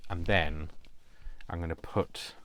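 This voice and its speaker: A man with a deep voice chatting casually as he hosts a craft video, playful and self-deprecating, rambling off into asides. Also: steady tone, takes it slowly, a bit bright.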